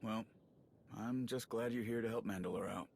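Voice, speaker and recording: A man's voice: fairly low pitch, normal speed, creaky, a little mellow, husky. A man acting a chatty, friendly male soldier and slicer in a video game cutscene, casual and wry with rambling asides. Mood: casual, fond.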